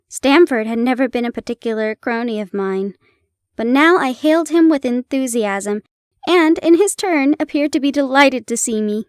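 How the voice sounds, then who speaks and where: Woman narrator, calm and steady reading, high pitch, dry close mic. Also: no echo. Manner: calm, steady storytelling, laughs at herself. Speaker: audio roleplay narrator. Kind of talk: reading a classic story aloud.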